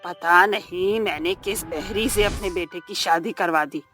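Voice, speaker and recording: A woman's voice: on the low side, a little slow. Woman playing a nagging, scolding mother-in-law over music. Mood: angry scolding. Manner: sharp, nagging. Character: scolding, exasperated middle-aged mother-in-law in a moral cartoon story. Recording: background music under the voice.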